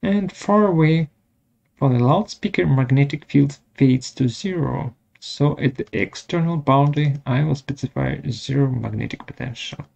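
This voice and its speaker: Guy walking thru software step by step, husky voice, kinda dull lofi audio. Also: mid-range voice, normal speed. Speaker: software webinar presenter.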